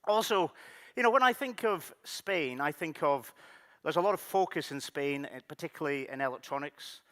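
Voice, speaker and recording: A middle-aged man from the Scottish Highlands with a Scottish accent, giving a conference keynote in a friendly, loose way with frequent fillers. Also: animated, normal speed, fairly bright tone. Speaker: keynote speaker. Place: large conference hall. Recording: conference hall with long reverb.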